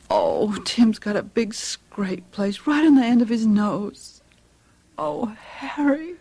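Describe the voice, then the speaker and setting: Radio drama mother: middle-aged American, anxious, tender, breathy, unhurried, hesitant. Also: on the high side, very animated, darkish tone, full character voice. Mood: tender, sorrowful. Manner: hesitant, halting.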